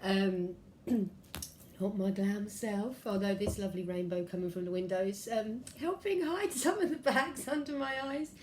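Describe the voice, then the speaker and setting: A woman with a head cold, her voice a little croaky, giving a chatty angel card reading at home with many ums and throat clearings. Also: medium pitch, fairly even intonation, moderate pace, slight background noise. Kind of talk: casual spiritual vlog talk. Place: room at home by a window.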